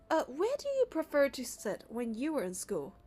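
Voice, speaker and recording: A woman's voice: high-pitched, wildly swooping pitch, a little slow, dark tone. A young woman narrating a game playthrough, reading the story and the player character's part in a casual way. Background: music.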